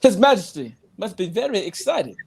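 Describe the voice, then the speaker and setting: A man's voice: sits high, very animated, normal speed, gravelly, slightly rough, heavily acted. Man acting a prince's servant, deferential, formal speech, comedy table read. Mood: eager.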